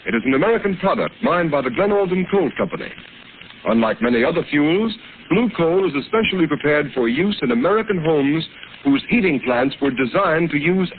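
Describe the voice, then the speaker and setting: A man's voice: on the high side, a little hiss or hum. A male radio announcer reading an earnest, persuasive scripted advertisement on a tinny old broadcast.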